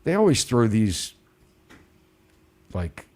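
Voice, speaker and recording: A man's voice: on the low side, slow. A man with a Baltimore accent hosting a casual real estate live stream, joking and peppering his talk with "man". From Baltimore, USA. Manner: animated, joking, says "man" often.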